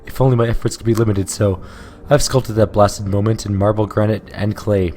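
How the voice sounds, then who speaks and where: A tormented male sculptor lashes out in a low, hoarse voice that is scornful and worn down by despair, as a man reads him aloud in a game playthrough. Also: steady tone, a little fast, warm tone, faint music behind, lightly acted. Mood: anguished. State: hoarse from illness.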